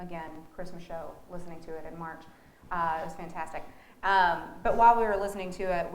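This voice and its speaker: In a roomy, echoing space with faint noise behind her, a woman talks casually in an interview. She has a fairly low voice and an informal, halting delivery, thinking aloud as she goes. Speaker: theater director being interviewed.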